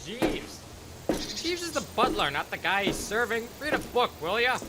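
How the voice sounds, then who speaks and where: A man's voice: extremely high pitch, sing-song, moderate pace, noisy background. A man acting a cocky, dismissive, joking repairman in an audio horror drama. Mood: grumbling.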